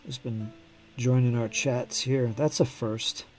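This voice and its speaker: A man's voice: medium pitch, fairly even intonation, takes it slowly, soft background music. A man hosting a casual live stream while painting miniatures, chatting with viewers by name and muttering to himself as he works. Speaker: hobby streamer.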